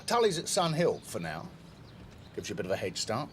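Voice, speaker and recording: An actor playing a mocking, sardonic British police officer in a TV drama. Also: male voice, fairly low pitch, huge pitch swings, a little fast, slight background noise.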